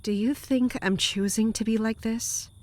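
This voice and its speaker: Woman acting weary female commander, guarded, duty-bound, unhurried pace, measured, terse, audio drama. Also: on the high side, fairly even intonation, darkish tone, close to an everyday voice. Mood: tired, guarded.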